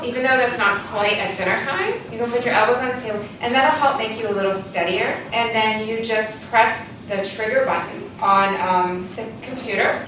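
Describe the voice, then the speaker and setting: A woman, an occupational therapist, explaining how to use a device in a casual, friendly way with frequent ums. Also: on the high side. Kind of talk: casual demonstration and Q&A. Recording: echoey room from a distant mic with noise.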